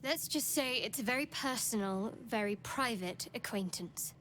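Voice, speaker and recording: A woman's voice: on the high side, expressive, moderate pace, a bit bright, slight background noise, poor audio. An actress playing a guarded, secretive young adventurer woman in a fantasy video game, reserved and dryly wry. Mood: cool, secretive. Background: game music.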